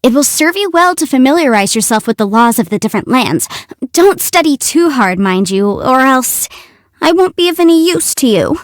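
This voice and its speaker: A woman voicing a young, quick-witted half-immortal legal adviser in a video game, articulate, self-assured and playful. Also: sits high, big pitch swings, no room sound, clean studio sound. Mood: cheerful. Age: young adult. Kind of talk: video game character voice lines.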